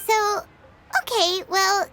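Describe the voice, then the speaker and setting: A woman voicing a small, curious, childlike fairy companion in a video game, with a squeaky voice. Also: fairly even intonation, takes it slowly, background noise. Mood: puzzled, inquisitive. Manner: speaks of herself in third person. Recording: loud music behind.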